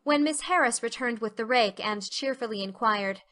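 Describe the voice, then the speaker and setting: A woman's voice: fairly high pitch, moderate pace, a bit bright. A woman narrating an audiobook story as a scripted dramatic reading.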